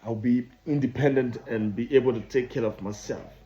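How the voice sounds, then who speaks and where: A man in his thirties with a Liberian accent, telling his own story in a halting way, often repeating and restarting phrases. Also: medium pitch, fairly even intonation, warm tone. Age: 30s. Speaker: vlogger. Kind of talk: personal storytelling. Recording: dull lo-fi recording.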